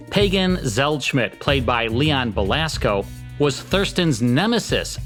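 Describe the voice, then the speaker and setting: A man delivers a scripted podcast introduction. His voice sits at an average pitch, with light music underneath. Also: a bit bright. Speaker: podcast host. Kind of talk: scripted narration.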